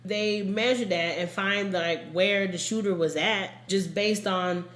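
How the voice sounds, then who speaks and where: A woman's voice: mid-range voice, fairly even intonation, a little slow, fairly bright tone, slight background noise. A woman hosting a true crime podcast, telling a story in a casual, informal, emphatic way and repeating points for emphasis. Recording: echoey room, casual podcast recording.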